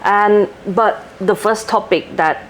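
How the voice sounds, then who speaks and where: A woman's voice: mid-range voice, takes it slowly, slight background noise, low-quality recording. A woman with a Thai accent hosting a casual interview in a polite, effusive way. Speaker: host.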